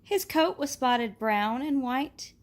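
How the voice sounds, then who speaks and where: A woman reading a picture book aloud in a gentle, expressive way, with a soft laugh now and then. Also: sits high, slow. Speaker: storybook reader.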